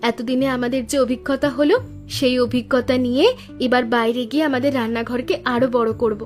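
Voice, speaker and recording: An actress playing a kind, hopeful woodcutter's wife in a cartoon, speaking in a gentle, encouraging, motherly way. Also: fairly high pitch, fairly even intonation, a little fast, soft background music, lightly acted. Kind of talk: Bengali cartoon story dialogue.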